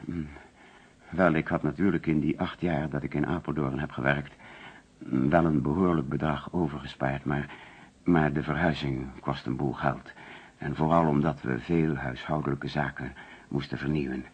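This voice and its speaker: A man's voice: very deep voice, steady tone, normal speed, breathy edge. An actor playing an anxious, defensive insurance agent under suspicion in a radio play, hesitant and flustered as he protests. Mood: uneasy, explaining. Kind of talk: Dutch radio play acting. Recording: dull, lo-fi old radio recording.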